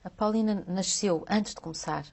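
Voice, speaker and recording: Woman interviewer, mid pitch; probing, halting question style. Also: darkish tone, slightly rough. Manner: probing, restarts questions with hesitant repeats.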